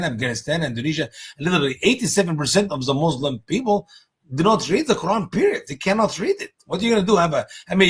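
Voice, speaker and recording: A middle-aged man from Egypt with an Egyptian Arabic accent, co-hosting a casual panel discussion in an excitable, emphatic way. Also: breathy edge. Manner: excitable, emphatic, swings high on key words. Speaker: co-host.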